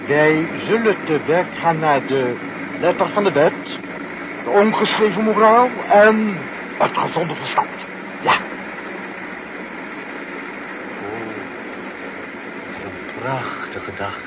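A man acting a pompous, flustered senior official in a Dutch radio play, blustering and then dithering. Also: mid-range voice. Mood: pompous resolve, then dreamy, hesitant. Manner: blustering, hesitant, rhetorical. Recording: muffled, noisy old radio play.

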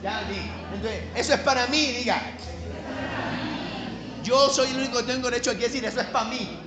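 Pastor from Colombia's Caribbean coast, very high ringing voice, emphatic sermon delivery in an echoey church hall. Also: male voice, over music. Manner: emphatic, slows down to stress key phrases, calls for congregation response.

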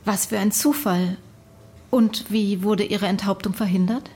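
An actress playing a sober female doctor who asks measured, probing questions in a radio drama. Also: medium pitch, steady tone, mellow, a little hiss or hum, lightly acted. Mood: probing, sceptical. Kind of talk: German radio drama dialogue.

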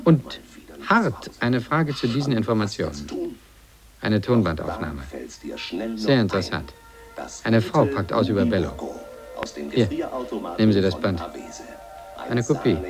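A man's voice: on the low side, very animated, measured pace, pauses often, music in the background. A man acting a calculating, controlling media executive in a German radio drama, giving clipped, businesslike instructions. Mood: brisk, persuasive. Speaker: actor.

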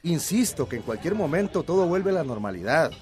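A dismissive, insistent skeptic in a radio drama. His pitch is a little high and moves a great deal, full of scorn. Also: sing-song, a little fast, faint music behind. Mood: scornful, dismissive.

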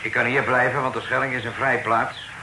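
A man's voice: medium pitch, level tone, brisk, noisy background, natural voice. An actor playing a sombre, wary man in an old radio play, speaking in short, subdued sentences. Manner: terse, subdued.

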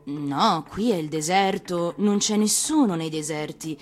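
A woman voicing a solitary desert snake in a storybook, terse, measured, cryptic and matter-of-fact. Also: on the low side. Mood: calm, cryptic.

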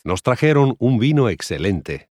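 A man reading scripted language lesson examples with careful, deliberate enunciation, as in a conjugation drill. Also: mid-range voice, very animated, a little mellow, dry recording, clean studio sound.

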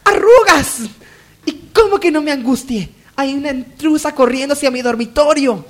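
A woman's voice: sits high, normal speed, lightly acted. A woman playing a haughty, scheming lady of the hacienda, imperious and melodramatic, in a parody radio soap. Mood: outraged.

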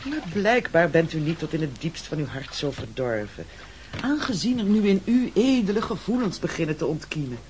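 A smug castaway chief played by a woman in a radio play, bossy under a show of courtesy. The low voice moves in big, oily swings, formal and patronizing. Noise is clearly audible on the recording. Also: sing-song, moderate pace, darkish tone. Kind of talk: theatrical radio play acting.

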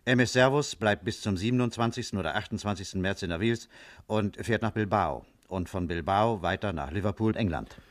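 A businesslike policeman in a 1950s radio crime play, acted by a man. He reports item by item in a lowish voice, recorded close and dry. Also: fairly quick, no room sound, close to an everyday voice. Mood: factual. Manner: factual, list-like reporting. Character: businesslike police officer reporting ship movements. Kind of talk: 1950s German radio crime drama acting.

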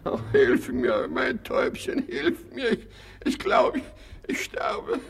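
Radio comedy: peevish, self-pitying hypochondriac, slow and theatrical, highly animated pitch. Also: male voice, gravelly, faint music behind, full character voice. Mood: whining, suffering. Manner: whining, moaning, indignant outbursts. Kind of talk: German radio play comedy acting.

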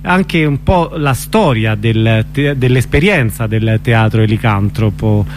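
A man with a medium-pitched voice conducts a conversational radio interview. He is attentive and a little halting as he phrases things. A hum and clear background noise sit under his voice.

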